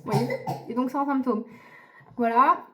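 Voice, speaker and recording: A woman's voice: high-pitched, normal speed, sharp tone. A young woman from France talking casually to camera in a diary vlog, chatty, with many fillers and restarts. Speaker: vlogger.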